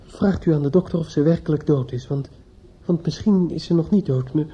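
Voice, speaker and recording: A desperate young man in an old radio drama, stumbling over his words in agitation. His voice is very soft-edged and dark, with a breathy husk. The recording sounds dull and muffled. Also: fairly high pitch, fairly quick. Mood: agitated, pleading. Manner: hesitant, stammering, agitated. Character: distraught, stammering young man, a judge's son, desperate. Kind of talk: radio play acting.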